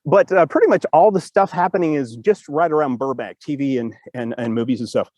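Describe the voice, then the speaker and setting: A man's voice: medium pitch, a little fast, darkish tone, no echo. A man co-hosting a podcast with casual, rambling enthusiasm, often repeating his phrases. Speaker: podcast co-host and storytelling teacher. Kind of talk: casual chat. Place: outdoors in a cemetery.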